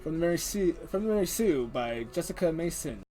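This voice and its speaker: A man's voice: fairly high pitch, expressive, fairly quick, mellow, faint music behind. A man hosting a casual livestream, reading the chat aloud in a joking way and laughing often. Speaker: stream host.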